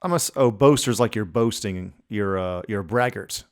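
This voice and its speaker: A man's voice: on the low side, normal speed, clean tone. A man co-hosting a podcast, chatting casually in an informal, slangy way. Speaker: podcast co-host. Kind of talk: casual chat.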